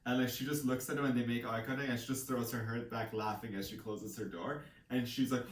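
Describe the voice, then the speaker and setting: A man's voice: mid-range voice, fairly even intonation, a little fast. A man co-hosting a casual book chat, retelling a story in a loose, rambling way with bits of quoted dialogue. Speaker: co-host of a book discussion show. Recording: echoey room, distant microphone.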